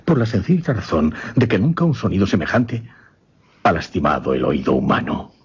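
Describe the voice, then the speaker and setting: An actor playing a shaken gentleman recounting a supernatural horror in a radio play, grave, formal and halting. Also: sits low, huge pitch swings, slightly rough, exaggerated voice. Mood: grave dread. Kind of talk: suspense radio theatre acting. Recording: dull, muffled radio recording.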